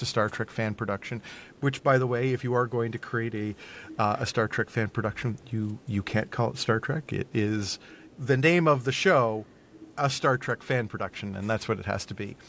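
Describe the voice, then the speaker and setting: A man's voice: lowish voice, creaky, a bit bright, slight background noise. A man chatting casually as a podcast guest, often repeating and rephrasing his words as he explains. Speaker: podcast guest, audio drama creator and voice actor. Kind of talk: casual interview conversation.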